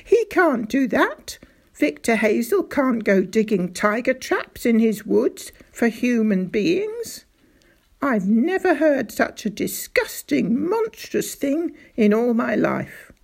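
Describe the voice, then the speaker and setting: A woman voicing a small elderly country doctor, excitable and easily outraged, in a storybook reading. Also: on the high side, measured pace, gravelly, slightly rough, exaggerated voice. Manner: excitable, grave then outraged.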